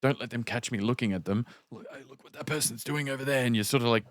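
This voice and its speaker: A man co-hosting a podcast, musing wryly in relaxed, casual conversation. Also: mid-range voice, fast talker, dry recording, clean studio sound. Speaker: podcast co-host. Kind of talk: casual chat.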